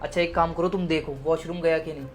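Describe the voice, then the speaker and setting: A woman voicing a nervous young male lover, speaking in a low put-on voice from a little way off the mic. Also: steady tone, fast, soft background music, close to an everyday voice. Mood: anxious.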